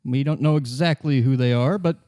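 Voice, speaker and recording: A man voicing a tough, loyal union vigilante leader in an improvised tabletop game, blunt, defensive and halting. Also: medium pitch, dark tone, lightly acted. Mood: defiant.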